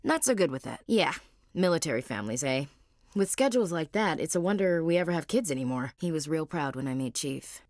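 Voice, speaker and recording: A woman's voice: low voice, animated, a little fast, darkish tone, no echo, clean studio sound. An actress voicing a frank, wry young woman soldier in a video game, plain-spoken and devout. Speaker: marine gunnery chief. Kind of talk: video game character dialogue.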